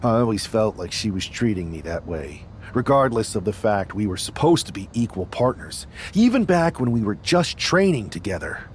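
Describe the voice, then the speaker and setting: A man's voice: on the low side, sing-song, normal speed, hardly any pauses, a little hiss or hum. A man playing a determined, ambitious young wrestler in a video game cutscene. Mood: resolute. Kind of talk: scripted game cutscene dialogue.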